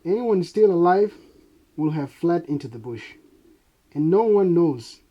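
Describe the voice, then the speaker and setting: A man's voice: on the high side, expressive, a little slow, muffled recording. A young man from South Sudan with a Dinka accent, coaching lines and recalling experiences. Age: young adult. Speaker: dialect coach. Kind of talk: spoken recollection and line coaching. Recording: clear background noise, ambience.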